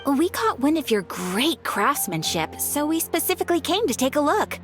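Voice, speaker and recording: A poised, formal receptionist from an adventurers' guild. Her polite voice is high and lively, heard over game music. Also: moderate pace. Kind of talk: video game voice acting.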